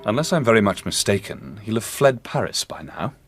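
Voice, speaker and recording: A man's voice: fairly low pitch, sing-song, a little fast. A man acting in a radio drama as a brilliant, confident young detective with an emphatic, theatrical delivery. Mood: calm, certain.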